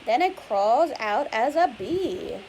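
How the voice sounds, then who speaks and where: A woman with a fairly high voice reads a children's picture book aloud like a teacher. She explains patiently in simple words and asks the listener questions. There is some hiss behind her. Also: very animated, takes it slowly, a little mellow, highs cut off. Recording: clear background hiss and noise.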